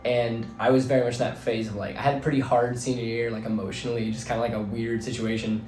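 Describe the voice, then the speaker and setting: Slightly hoarse young man rambling earnestly. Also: medium pitch, flat delivery, fast, darkish tone. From New Jersey. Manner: rambling, filler-heavy, earnest. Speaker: vlogger and teacher. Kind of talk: casual reflective monologue. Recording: roomy echo, faint background music.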